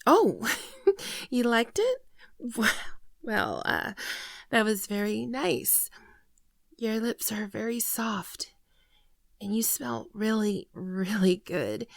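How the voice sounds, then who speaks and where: A woman playing a gentle, naive shapeshifting alien in an ASMR roleplay, speaking softly, hesitantly and tenderly, often near a whisper, close to the microphone. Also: mid-range voice, animated, slow, vocal fry, pauses often, no echo, exaggerated voice. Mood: tender, playful. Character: gentle shapeshifting female alien, naive, earnest, shyly affectionate. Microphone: close microphone, dry sound.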